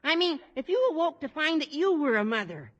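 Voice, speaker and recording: A performer playing an eager, bouncy teenage schoolboy in a 1950s radio sitcom, chattering earnestly and excitably. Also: high voice, brisk, lo-fi sound.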